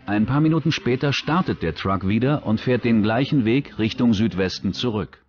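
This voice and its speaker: A man reading scripted true-crime documentary narration in a measured, factual way. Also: fairly low pitch, animated, muffled recording, a little hiss or hum.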